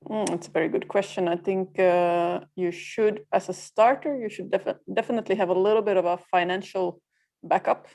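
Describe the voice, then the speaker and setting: A woman from Finland whose first language is Swedish, a freelancer guest on a podcast, speaking in a plain, practical way with frequent pauses. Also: medium pitch, fairly even intonation, creaky, warm tone. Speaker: guest, freelancer community co-founder. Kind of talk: podcast interview.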